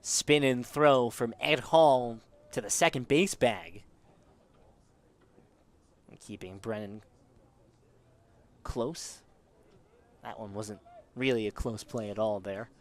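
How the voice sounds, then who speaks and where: A man calling live baseball play-by-play as a sports commentator. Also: medium pitch.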